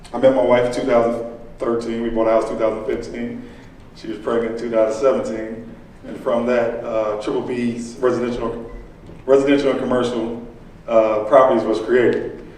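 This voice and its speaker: Man, late twenties, from Illinois, casual pitch, filler-heavy, distant, very echoey room. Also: a little hiss or hum. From Illinois, USA. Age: late 20s. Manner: relaxed, conversational, frequent fillers. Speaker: contractor and aspiring entrepreneur. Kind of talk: casual personal pitch to a live audience. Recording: echoey room, picked up from afar.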